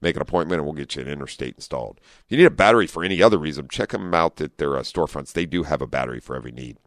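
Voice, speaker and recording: A man with a deep voice hosting a call-in car radio show, talking in a friendly, chatty way with lots of fillers. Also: lively intonation, very fast, a bit bright, no echo, studio quality. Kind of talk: casual talk radio hosting. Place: radio studio. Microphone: studio microphone.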